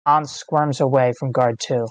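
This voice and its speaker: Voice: mid-range voice, steady tone, a little slow, no echo. Stage-direction narrator: terse dramatic phrasing, scripted table read, close dry sound. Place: online meeting, close dry mic.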